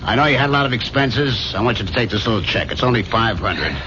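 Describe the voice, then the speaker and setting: Brash wheeler-dealer boss played by a man, glib and overbearing, very animated delivery, dull noisy old radio recording. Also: medium pitch, fast, lightly acted. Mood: breezy, generous. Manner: glib, slangy, overbearing patter. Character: brash, fast-talking, domineering businessman boss. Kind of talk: 1970s radio drama acting.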